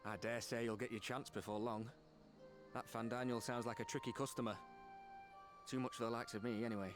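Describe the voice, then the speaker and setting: A man's voice: mid-range voice, flat delivery, fairly quick, lightly acted. A man playing an earnest, plain-spoken young soldier in a video game, heartfelt and determined. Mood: friendly. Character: earnest, self-doubting but determined young male soldier. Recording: loud music underneath. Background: orchestral game music.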